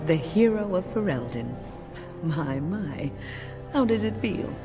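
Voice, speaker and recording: An actress playing a wise, gentle elderly mage in a video game, speaking in a measured, reflective, kindly way with wry touches. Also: female voice, on the low side, very animated, exaggerated voice. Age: older. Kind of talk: video game character dialogue. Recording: music behind. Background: music.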